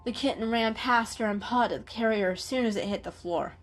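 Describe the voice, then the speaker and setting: A woman's voice: a little high, brisk, faint music behind. A woman giving a scripted, dramatic storybook narration as the storyteller.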